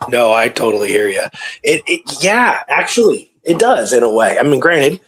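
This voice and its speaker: A man talking casually through a historical story as co-host, musing and hesitant, circling back over his phrases in a slightly husky voice. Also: medium pitch, very animated, normal speed, a bit bright, slight background noise, poor audio.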